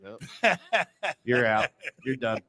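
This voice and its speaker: A man's voice: mid-range voice, animated, slow pace, pushed and bright. A male sports radio host with a mid-range voice talks in a casual, live style. He banters and teases, cutting in on his co-hosts.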